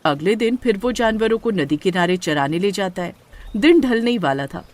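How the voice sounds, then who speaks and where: A woman narrates a scripted horror story. Her voice sits at a middle pitch and moves up and down in an animated, storytelling way. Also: moderate pace.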